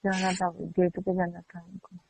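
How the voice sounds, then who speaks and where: A woman's voice: on the low side, monotone, measured pace, vocal fry, a little mellow, a little hiss or hum. A woman playing an upset, whiny customer complaining sulkily to her tailor in a casual phone conversation. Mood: sulky. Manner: complaining, sulky. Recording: muffled, band-limited phone line.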